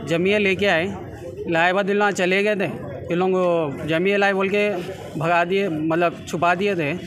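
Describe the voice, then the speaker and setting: A young man with a Hyderabadi accent speaks in a high voice, giving an eyewitness-style statement. He is insistent and circles back over his words, with crowd bustle around the microphones. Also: steady tone, a little slow. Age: young adult. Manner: insistent, repetitive, often urging listeners to hear them out. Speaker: injured attack victim giving a statement. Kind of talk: spontaneous interview account to reporters. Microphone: handheld reporters' microphones held close. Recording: street-interview sound with crowd bustle.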